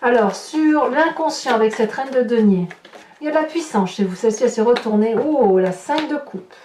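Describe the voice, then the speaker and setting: A woman with a fairly high voice speaks as a tarot reader to her viewers. She thinks aloud informally, often checking in with small questions. The sound is very roomy and a little far from the microphone. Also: animated, normal speed, a little mellow, slight background noise. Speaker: tarot reader hosting a horoscope channel. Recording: roomy echo, distant microphone.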